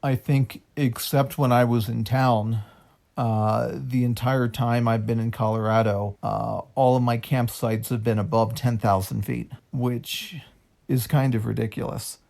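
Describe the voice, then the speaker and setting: Unhurried guest, male, gravelly, halting answers with a touch of dry humor. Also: mid-range voice, fairly even intonation, darkish tone. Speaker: guest, long-distance hiker. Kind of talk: casual interview answers.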